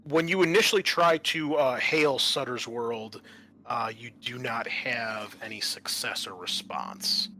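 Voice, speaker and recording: A man's voice: mid-range voice, unhurried, sharp tone, slight background noise, rough recording. A man working as game master in a tabletop roleplaying game, explaining things in a conversational way. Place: online voice call.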